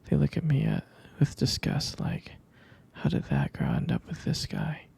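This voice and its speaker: A sleepy young boyfriend, played by a man murmurs tender reassurance to his sweetheart in an ASMR roleplay. His voice is a mellow, slightly high whisper with lots of soft swings in pitch, hesitant and husky. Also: huge pitch swings, moderate pace, heavily acted. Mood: reassuring.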